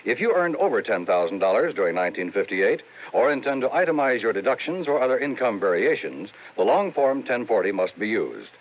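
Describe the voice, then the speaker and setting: A man announcing in a crisp, formal 1950s radio style. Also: mid-range voice, sing-song, fairly quick. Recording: tinny old broadcast recording.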